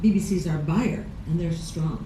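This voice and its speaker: A woman's voice: lowish voice, normal speed, background noise. A woman with a Chicago accent answering questions on a panel, emphatic and repeating words for stress. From Chicago, USA. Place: large screening hall. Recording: echoey hall recorded from far off.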